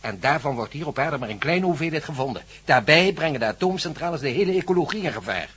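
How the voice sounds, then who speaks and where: An actor playing an excitable, pedantic male science professor in a radio play. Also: fairly high pitch, fast, breathy edge. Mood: lecturing. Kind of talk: Dutch radio play acting.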